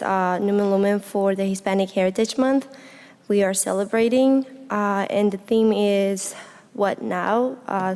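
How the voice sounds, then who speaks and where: A woman's voice: mid-range voice, a little mellow. A young woman from Honduras gives a reflective, earnest personal speech as a student speaker, addressing an audience. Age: young adult. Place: indoor hall, speaking to an audience. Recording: echoey hall with long reverb.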